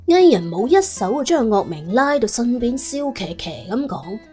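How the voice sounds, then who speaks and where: A woman narrating an audiobook in animated storytelling style. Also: a little high, normal speed, faint music behind.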